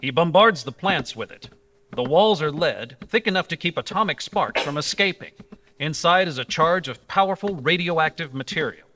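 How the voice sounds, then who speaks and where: A man playing an earnest, authoritative hero of an old-time radio adventure, explaining things and giving brisk orders. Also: a little high, animated, bright, forceful tone. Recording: live stage recording with background noise.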